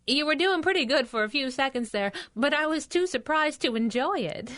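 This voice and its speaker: Feisty, bright-voiced female sidekick. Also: sits high, lively intonation, normal speed. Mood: wry. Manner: sassy, emphatic, sarcastic. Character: feisty, wisecracking young female superhero sidekick. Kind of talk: 1930s radio adventure serial acting.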